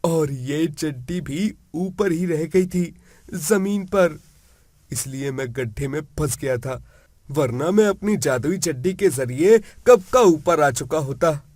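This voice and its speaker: A man voicing a kind, reassuring magical young prince in an animated moral story, with earnest, declarative delivery. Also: a little high, takes it slowly, no echo, clean studio sound. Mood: earnest, explaining.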